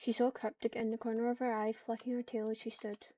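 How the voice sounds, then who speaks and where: Woman gamer narrating roleplay in halting storybook phrases, higher mellow voice, muffled far-off mic. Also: level tone. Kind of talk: live roleplay narration mixed with acted dialogue.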